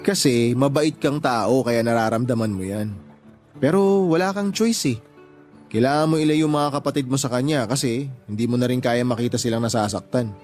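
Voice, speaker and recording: Actor as a supportive, mid-pitched young boyfriend. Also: male voice, normal speed, darkish tone, faint music behind, close to an everyday voice. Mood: sympathetic, reassuring. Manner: reassuring, conversational. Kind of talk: Tagalog radio drama dialogue.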